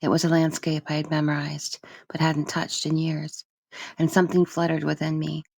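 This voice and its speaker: A woman reading literary first-person narration for an audiobook in a measured, expressive storytelling manner. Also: deep voice, creaky. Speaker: audiobook reader.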